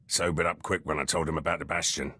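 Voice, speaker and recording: An actor playing a cynical, gruff military lieutenant in a video game, talking in terse, clipped phrases. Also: male voice, very deep voice, level tone, fast talker, sharp tone, heavily acted. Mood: cynical, pressing. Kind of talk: video game character dialogue.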